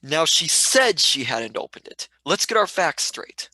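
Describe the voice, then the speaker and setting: A woman playing a blunt, excitable companion in a sci-fi radio serial, with a husky voice and exclamatory delivery. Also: deep voice, a little slow, no echo, full character voice, poor audio. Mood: sceptical. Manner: exclamatory, plain-spoken British slang.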